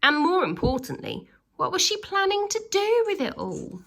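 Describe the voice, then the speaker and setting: A woman reading a children's story aloud expressively, with theatrical, drawn-out emphasis on key words. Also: very high voice, huge pitch swings, vocal fry, a bit bright.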